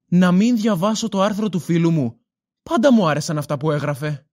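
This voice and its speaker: A woman's voice: on the low side, animated, darkish tone. A woman playing a bored, cooped-up, childlike grumbler in an audio drama, playful and whiny. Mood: bored, complaining. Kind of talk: Greek audio drama acting.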